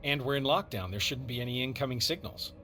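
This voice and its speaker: A man's voice: medium pitch, fast talker, sharp tone, faint music behind, lightly acted. An actor playing a courteous, cautious agency operations man in a video game, explaining things conscientiously and deferentially. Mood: puzzled, alert. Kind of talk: scripted video game dialogue.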